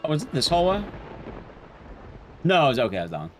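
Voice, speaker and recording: A man's voice: a little high, huge pitch swings, fairly quick, soft background music. A man hosting a livestream, chatting casually with viewers in informal slang, with emphatic, teasing commentary on a game. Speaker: livestream host.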